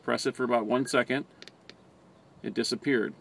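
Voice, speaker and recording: An American man narrates an instructional video in a plain, step-by-step way. His voice sits at an average pitch for a man. It is an amateur camera recording with some noise behind the voice. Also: steady tone, normal speed, creaky, fairly bright tone. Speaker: fire department communications trainer. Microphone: handheld camera or phone close by. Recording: noticeable background noise, amateur camera audio.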